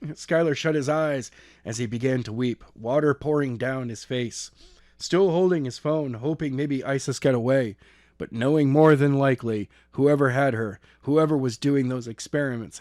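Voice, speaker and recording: A man's voice: mid-range voice, darkish tone, no room sound, studio quality. A man reading an action story as an amateur narrator, stumbling and repeating phrases as he goes. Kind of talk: scripted narration of an action novel.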